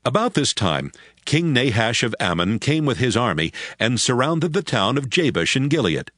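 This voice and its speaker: A man's voice: mid-range voice, big pitch swings, moderate pace, a bit bright, dry recording, clean studio sound. A man narrating an audio Bible drama as a scripted, dramatic storyteller.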